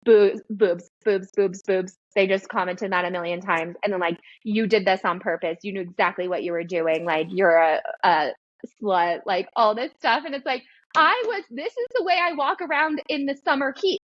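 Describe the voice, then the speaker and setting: Host type: girly-voiced woman, slight lisp, animated chat, a little lo-fi. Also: medium pitch, moderate pace, dry recording. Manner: animated, laughs while talking. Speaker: podcast host and business coach. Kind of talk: casual interview chat.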